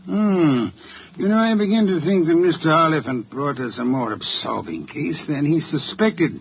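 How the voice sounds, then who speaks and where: An actor playing an imperious, lazy genius detective in a 1950s radio drama, speaking formally and deliberately with haughty grumbles. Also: male voice, a little high, expressive, gravelly. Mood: pondering, grumbling. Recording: muffled, hissy old radio recording.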